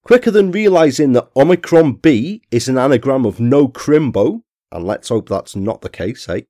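Croaky British man hosting a podcast. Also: medium pitch, lively intonation, normal speed, warm tone, no room sound, clean studio sound. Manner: chatty, friendly asides to listeners. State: croaky from a cold. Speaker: podcast host. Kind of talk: scripted podcast narration and ad read.

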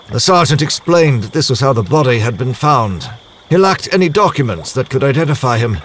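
An actor playing a genteel, proud nobleman diplomat, recounting his story in formal, measured language for an audio drama. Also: mid-range voice, slight background noise, natural voice. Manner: formal, measured, literary diction. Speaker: voice actor, lead role. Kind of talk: first-person audio drama narration.

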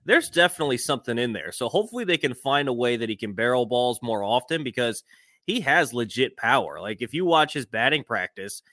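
A man with a medium-pitched voice co-hosts a sports podcast. He talks through his analysis in an easy conversational style. The recording is dry, with no room sound. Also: expressive, brisk, fairly bright tone, no echo.

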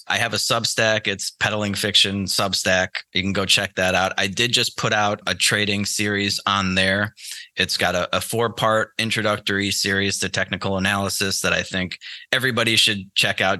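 A man's voice: fairly low pitch, pushed and bright, dry recording. A man speaking casually and with opinions as a guest in an interview, in an informal conversational way. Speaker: podcast guest, trading teacher. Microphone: close mic on an internet call.

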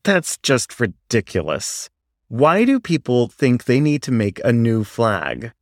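A man's voice: mid-range voice, huge pitch swings, takes it slowly, no room sound, studio quality. A man voicing an argumentative, self-righteous male student in a story, emphatic and dismissive. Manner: emphatic, griping, insistent.

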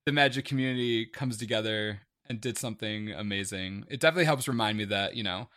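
A man telling stories in casual podcast chat, excitable and repeating words for emphasis. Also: on the low side, quick pace. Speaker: guest, charity streamer.

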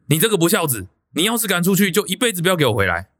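A man's voice: high-pitched, sing-song, rapid-fire, fairly bright tone, full character voice. An actor with a Taiwanese Mandarin accent playing a stern, disapproving father of a grown son in a radio drama. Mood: furious.

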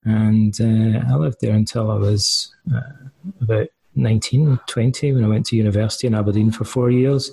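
Scottish man, middle-aged, husky gravelly voice, mellow tone, unhurried interview answers. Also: fairly low pitch, fairly even intonation, poor audio. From Scotland. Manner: thoughtful, hesitant with fillers and restarts. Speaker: guest.

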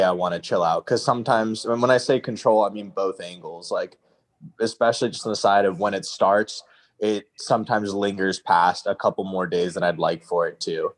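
A man's voice: lowish voice, moderate pace. A man in his early twenties chatting casually, reflective and roundabout as he questions himself. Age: early 20s. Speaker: freelance copywriter asking for advice. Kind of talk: casual chat.

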